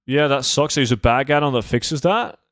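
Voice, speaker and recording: Guy chatting on his game stream, blunt n sweary, normal pitch, dry mic sound. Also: normal speed, fairly bright tone, no room sound. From Norway. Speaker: game livestreamer. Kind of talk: casual livestream chat while gaming.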